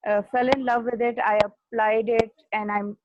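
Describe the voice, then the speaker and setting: A woman from Pakistan with a Pakistani accent, an Urdu speaker, talking casually as a guest language trainer and filling her pauses with frequent "uh". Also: a little high, little pitch movement, a little mellow. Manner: unhurried, fills pauses with frequent "uh". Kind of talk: casual conversational talk. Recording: dull muffled audio with faint hiss.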